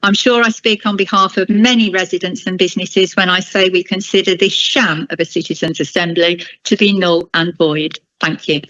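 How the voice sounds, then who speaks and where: A woman delivering a formal prepared address at a council meeting in a deliberate, critical and emphatic manner. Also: fairly low pitch, fairly even intonation, fairly bright tone, dry recording, highs cut off.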